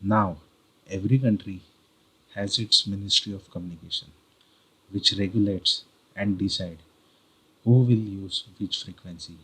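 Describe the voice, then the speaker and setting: A male tutorial host with an Indian accent and a lowish voice. His tone is forceful and bright, with a husky, rough edge. He explains things deliberately, one short phrase at a time. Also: poor audio. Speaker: host of a how-to video channel.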